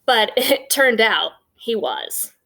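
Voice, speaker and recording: A woman reading a children's picture book aloud as an expressive storyteller, with emphatic pauses and conversational asides. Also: a little high.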